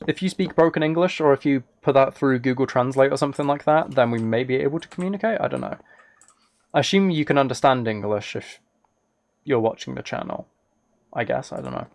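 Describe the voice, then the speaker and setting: A man's voice: medium pitch, a little mellow. A man streaming a video game, chatting casually and thinking aloud in hesitant fragments. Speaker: live streamer. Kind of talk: casual livestream chat while playing a video game.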